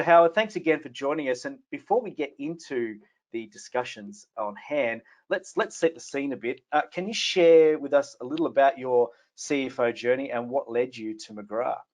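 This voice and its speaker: A man's voice: medium pitch, normal speed. Man hosting a live webinar. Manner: scripted introduction, then loose questions with restarts. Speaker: webinar host, company CFO.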